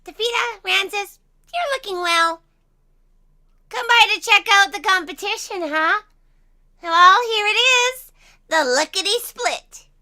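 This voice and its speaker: Very high, bright, sassy little girl. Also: animated, slow pace, long pauses, exaggerated voice. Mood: brash. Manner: sassy, defiant. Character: feisty little girl kart racer, sassy and defiant. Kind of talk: animated film table read.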